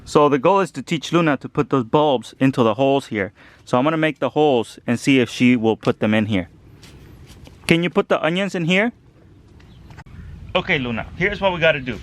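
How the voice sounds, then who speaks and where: A man in his thirties from El Salvador, chatting through a casual garden vlog outdoors and gently coaxing a toddler to help. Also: mid-range voice, fairly quick. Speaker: home gardener vlogger.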